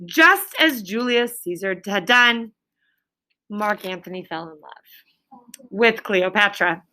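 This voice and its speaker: A woman's voice: mid-range voice, takes it slowly, sharp tone. A woman teacher reading aloud to her class in an instructive way, stopping to prompt pupils with check-in questions. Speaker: elementary school teacher.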